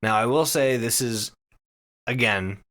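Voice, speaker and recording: A man hosting a podcast, explaining things casually and comedically to friends in an animated, sweary, conversational way. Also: mid-range voice, measured pace. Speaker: podcast host.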